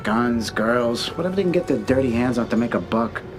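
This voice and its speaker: An actor playing a talkative mob associate giving the rundown on a rival gang. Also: male voice, mid-range voice, lively intonation, a little fast, over music, lightly acted. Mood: chatty. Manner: chatty, loose. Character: chatty male mob associate in Florida, loose. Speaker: Fredo, mob driver.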